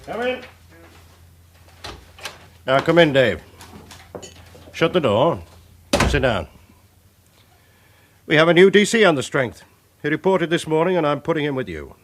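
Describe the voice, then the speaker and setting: An actor with a British accent playing a brisk, commanding male police chief inspector, giving curt orders in a radio drama. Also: on the high side, big pitch swings, a little hiss or hum. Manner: curt, authoritative, clipped orders. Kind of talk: 1980s radio drama acting.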